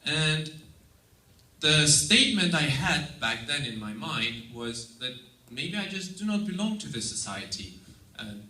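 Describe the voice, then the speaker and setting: A man's voice: a little high, big pitch swings, bright, forceful tone. A young man from Estonia with a Russian accent, a native Russian speaker, giving an earnest prepared talk as an exchange student in a lecture hall. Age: young adult. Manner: earnest, measured, with occasional restarts. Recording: roomy sound, mic at a distance.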